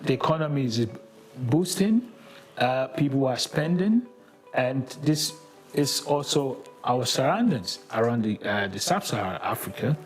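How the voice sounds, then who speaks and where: A man's voice: mid-range voice, darkish tone, low-quality recording. A man in his sixties, an auto industry executive, giving an earnest, promotional interview on a lapel mic in an office. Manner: earnest, promotional, with hesitant restarts.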